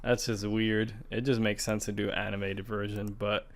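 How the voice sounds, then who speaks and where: A man's voice: on the low side, flat delivery, moderate pace. A man co-hosting a podcast in casual, conversational gaming chat. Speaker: podcast co-host.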